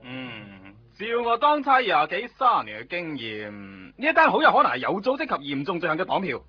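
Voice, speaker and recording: An actor playing a pompous, excitable veteran policeman with a Hong Kong Cantonese accent in a radio drama, officious and blustering. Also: high-pitched, brisk. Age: middle-aged. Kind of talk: comic radio drama acting. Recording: muffled radio drama recording.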